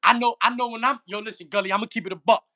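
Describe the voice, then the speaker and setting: A man's voice: sits high, rapid-fire. A middle-aged man telling stories in casual, profane street slang, emphatic and repeating himself for effect. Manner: animated, emphatic, repetitive, profane.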